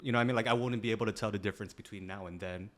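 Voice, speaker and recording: A man co-hosting a podcast in casual chat, laid-back, filling his talk with "like" and "you know what I mean", and trailing off quietly at the ends of phrases. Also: lowish voice, steady tone, rapid-fire. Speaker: podcast co-host.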